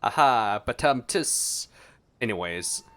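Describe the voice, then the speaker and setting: A man's voice: medium pitch, lively intonation, takes it slowly, background noise. A man giving casual gameplay reaction commentary as a streamer. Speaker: game streamer. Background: faint game music.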